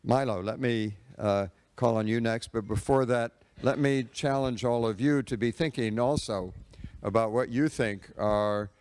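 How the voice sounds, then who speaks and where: A man moderating a conference panel, speaking haltingly with many uh fillers. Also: medium pitch, darkish tone, smooth, dry recording. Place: conference hall.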